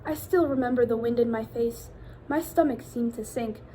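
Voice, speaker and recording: A woman with a fairly high voice reads a children's book aloud in an expressive style. She speaks straight to young listeners as a teacher would, over some background noise. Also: normal speed. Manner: addresses young children directly with questions.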